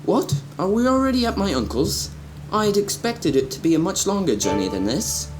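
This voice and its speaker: A man acts out a spoiled young prince in a radio play. The voice is fairly high and swings around in pitch, whiny and haughty, with clear music underneath. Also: sing-song, normal speed, rough recording. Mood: alarmed. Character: spoiled, defiant young prince of about fourteen. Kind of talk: radio drama acting.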